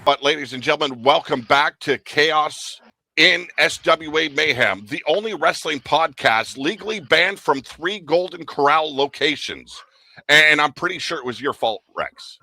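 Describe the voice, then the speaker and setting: A man's voice: fairly high pitch, expressive, a little slow, sharp tone, no room sound. A man hosting a wrestling podcast, recapping matches with wry jokes and colorful comparisons. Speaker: podcast host.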